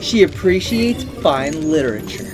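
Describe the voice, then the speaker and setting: A woman's voice: low-pitched, lively intonation, normal speed. A woman voicing a small, sweet, earnest male cartoon creature with an eager, boyish manner in a fan comic dub. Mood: sincere. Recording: music under the voice.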